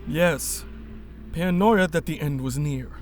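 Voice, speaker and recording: A man's voice: fairly high pitch, a little slow, faint music behind, lightly acted. A man acting a guarded, stubborn rebel in a sci-fi radio drama, earnest and formal in his speech. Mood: grave, subdued.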